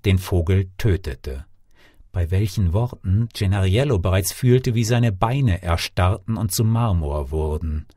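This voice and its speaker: Low, very animated male storyteller. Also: dry recording, clean studio sound. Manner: dramatic, expressive delivery. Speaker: actor and fairy-tale narrator. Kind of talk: scripted storytelling, audiobook reading.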